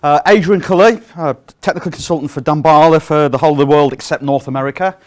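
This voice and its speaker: A man's voice: a little high, quick pace. A middle-aged British man giving a conference talk, projecting his voice without a microphone and speaking with plenty of ums and uhs. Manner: projecting to fill a room without a sound system; lively, frequent ums and uhs. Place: conference room.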